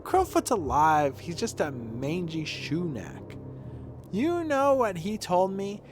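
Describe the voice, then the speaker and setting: A man's voice: sits high, very animated, takes it slowly, a little hiss or hum, exaggerated voice. Man reading as a defiant young game apprentice. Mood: bitter. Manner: defiant, reproachful. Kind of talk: reading game dialogue aloud.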